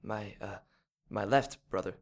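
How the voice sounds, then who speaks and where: A nervous, servile acolyte. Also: male voice, fairly low pitch, measured pace. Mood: nervous, appeasing. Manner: hesitant, deferential, stammering. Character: nervous, servile male acolyte of a secret order. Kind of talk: audio drama acting.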